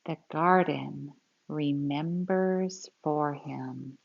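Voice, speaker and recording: A woman reading a picture book aloud to young children in an expressive storytelling style, with simple explanatory asides. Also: low-pitched, extremely slow, creaky, breathy edge, highs cut off.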